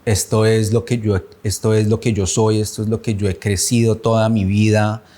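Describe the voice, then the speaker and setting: Colombian man with a low voice. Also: level tone, mellow. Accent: Colombian, Bogotá. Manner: measured, searching for words with fillers and restarts. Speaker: personal testimony speaker. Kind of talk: reflective personal testimony.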